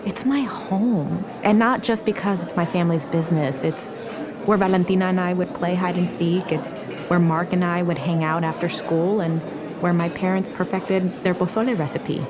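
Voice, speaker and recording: A woman's voice: fairly low pitch, fairly even intonation, normal speed, crowd noise, lightly acted. A woman playing a young Mexican-American restaurant worker, playful, self-effacing and smitten, in a romantic audio drama. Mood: earnest, modest.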